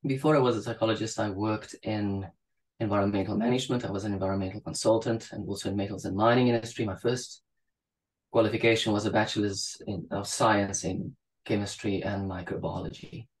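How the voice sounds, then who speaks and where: South african guy on a video call, lowish voice, thoughtful, restarts a lot. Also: steady tone, a little fast, poor audio. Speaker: psychologist guest. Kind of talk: interview over video call. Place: small room indoors. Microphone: video call webcam.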